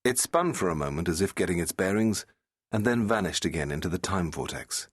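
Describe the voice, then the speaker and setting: A man narrating a fiction audiobook in a measured, dramatic and suspenseful manner. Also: sits low, big pitch swings, dry recording, studio quality.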